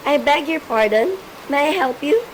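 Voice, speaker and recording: A woman's voice: high-pitched, animated, moderate pace. A woman playing a polite, unruffled telephone operator in an amateur suspense radio play, speaking in formulaic phrases. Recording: noisy amateur recording with faint hiss.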